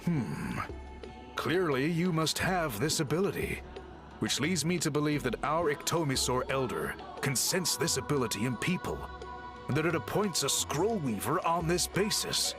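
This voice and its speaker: Learned male scroll scholar; formal, patient explanations with an animated lilt over soft game music. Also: on the high side, moderate pace. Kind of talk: game dialogue.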